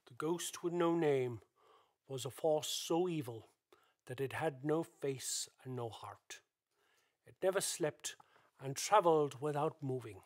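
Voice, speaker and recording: A male narrator tells a radio play tale in a dramatic, scripted style. His delivery is unhurried, and his voice sits a little high. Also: lively intonation.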